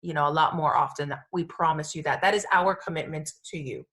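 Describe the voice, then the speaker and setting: A woman's voice: low voice, fairly quick, a bit bright. A woman co-hosting a casual podcast, chatting in an emphatic way and often repeating her phrases. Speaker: podcast co-host.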